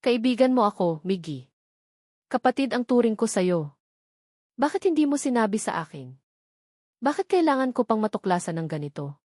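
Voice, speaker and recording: A woman's voice: a little high, big pitch swings, moderate pace, long pauses, a little mellow, dry recording, studio quality. A woman voicing a hurt, bitter male executive with a grave, accusing manner in an audiobook. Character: hurt, bitter male executive confronting his best friend.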